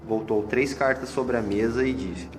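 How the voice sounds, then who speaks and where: A man's voice: medium pitch, moderate pace. A Brazilian man narrating a scripted radio drama. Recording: echoey hall, long reverb, music bed. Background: music.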